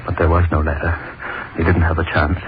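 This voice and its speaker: A man plays a brooding hangman who talks in slow, broken fragments, fixated on his rope. His voice sits at the very bottom of the range and barely moves. It comes through a thin, crackling 1930s radio broadcast. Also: sits low, flat delivery, narrow, tinny audio, close to an everyday voice. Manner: slow, broken, obsessive inner monologue. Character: brooding male hangman, obsessed with his noose. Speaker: narrator. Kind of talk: 1930s radio horror acting.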